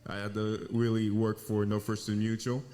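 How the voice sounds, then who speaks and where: A man from Haiti, a financial planner, talking in an earnest, measured way as a guest. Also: on the low side, completely flat delivery, dark tone. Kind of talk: casual interview.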